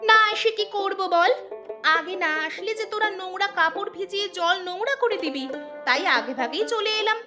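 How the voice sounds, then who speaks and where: A woman voicing village women in a cartoon folk tale, with exaggerated, expressive delivery. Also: very high-pitched, moderate pace, music in the background. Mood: scornful, scolding.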